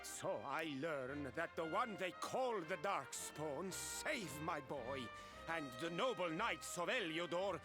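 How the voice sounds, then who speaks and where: Dramatic father, Italian accent, lively rise and fall, broken English, fantasy game, music behind. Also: high-pitched. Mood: solemn, grateful. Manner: expressive, courtly, broken English. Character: grateful, dramatic Italian-styled merchant father. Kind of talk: video game voice acting.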